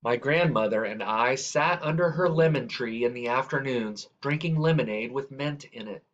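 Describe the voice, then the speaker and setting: A man reading a children's picture book aloud in a measured, deliberate way. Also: medium pitch, fairly bright tone. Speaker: reader. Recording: dull, lo-fi sound.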